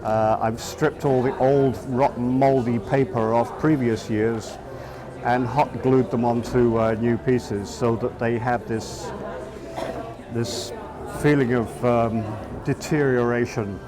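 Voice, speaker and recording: Hesitant English artist, crowd behind. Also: male voice, mid-range voice, fairly even intonation, takes it slowly. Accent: Southeast English. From England. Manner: hesitant, many fillers and restarts. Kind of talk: casual interview. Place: outdoors in a town.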